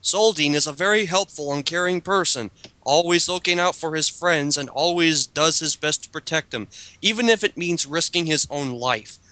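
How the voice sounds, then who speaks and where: A man's voice: fairly high pitch, moderate pace, pushed and bright, dry recording, low-quality recording. A man doing a dramatic reading of a written character bio, breaking into casual commentary as host.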